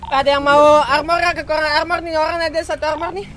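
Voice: high voice, flat delivery, fast talker, a bit bright. A gamer chatting casually during an online roleplay game. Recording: noisy game voice chat, engine sounds.

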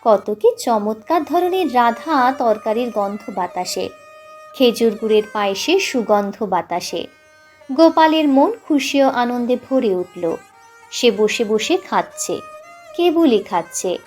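A woman's voice: fairly high pitch, expressive, slow, a little mellow. A woman narrating a literary story expressively, audiobook style, with music underneath.

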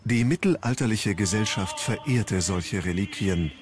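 A man reading scripted documentary narration in a measured storytelling manner. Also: on the low side.

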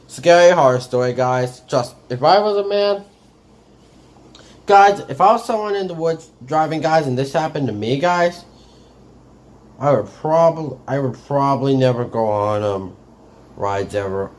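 A man's voice: mid-range voice, normal speed. A man hosting a reaction video, rambling casually and addressing viewers as "guys" in nearly every sentence. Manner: rambling, says "guys" constantly. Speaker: reaction channel host.

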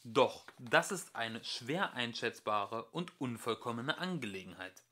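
A man reading a scripted audiobook as an amateur narrator, with expressive, varied emphasis. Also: medium pitch, measured pace.

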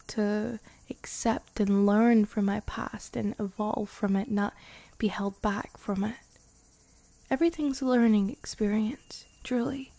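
A woman voicing a centuries-old vampire girlfriend, wistful and protective, in soft, intimate ASMR roleplay that drifts into whispers. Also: on the high side, no echo. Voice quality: soft, breathy whispery moments. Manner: slow, hesitant, sighing between phrases.

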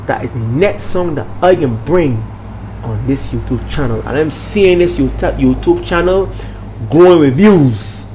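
An older man with a Bajan accent talks casually as a minister hosting his vlog, preachy and emphatic, repeating phrases and checking in with listeners. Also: medium pitch. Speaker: minister and channel host. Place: small room at home, indoors. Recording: noisy room with steady background hum.

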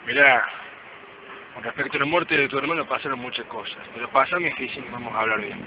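A man's voice: mid-range voice, a little fast, close to an everyday voice. An amateur man actor playing a sincere company worker revealing a crime in a radio play. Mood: earnest. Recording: muffled, noisy amateur recording.

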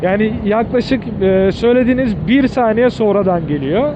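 Male vlogger, high-pitched voice, explanatory and informal, recorded under heavy vehicle noise. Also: moderate pace. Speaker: motorcycle vlogger reviewing gear. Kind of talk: informal product review addressed to viewers. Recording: dull audio under loud vehicle noise.

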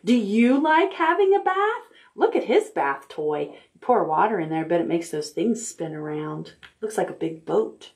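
A middle-aged woman hosting a children's storytime, reading aloud in simple, expressive language for toddlers. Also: medium pitch, normal speed. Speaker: library storytime host. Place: indoor room, recorded on video.

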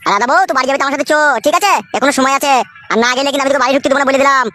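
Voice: high voice, fairly even intonation, rapid-fire, pushed and bright, slight background noise. A performer voicing an angry, stubborn, foul-mouthed young son-in-law who scolds and argues in a comedy cartoon. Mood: threatening. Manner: scolding, argumentative, crude.

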